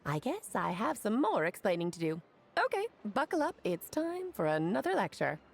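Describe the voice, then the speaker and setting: A woman's voice: medium pitch, sing-song, moderate pace, creaky, slight background noise. A woman voicing a self-assured, playful mage in a video game, chatty and teasing as she lectures. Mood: breezy, smug. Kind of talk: animated video game dialogue.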